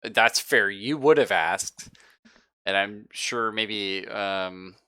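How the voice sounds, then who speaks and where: A man's voice: on the low side, slow, pushed and bright, clean tone, no room sound. A man acting as game master in a casual live tabletop roleplaying session, easygoing and encouraging as he thinks aloud.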